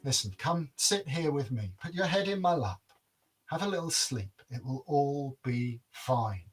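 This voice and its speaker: A man voicing a gentle, reassuring young woman in a fairy tale, with soothing, tender phrasing. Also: mid-range voice, expressive, measured pace, slightly rough, distant mic, faint music behind. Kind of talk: oral fairy-tale storytelling.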